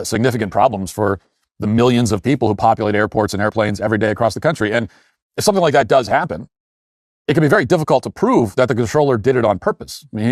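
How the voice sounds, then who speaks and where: Male commentator, brisk pace, low pitch, emphatic and critical monologue, asides. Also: dry recording, studio quality. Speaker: commentary show host.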